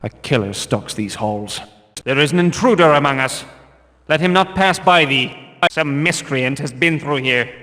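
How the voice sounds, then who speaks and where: An actor playing a zealous guard of a stern religious order in a video game, speaking solemn, pious, archaic English. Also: male voice, medium pitch, expressive, normal speed, sharp tone. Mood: watchful. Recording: long cavernous reverb.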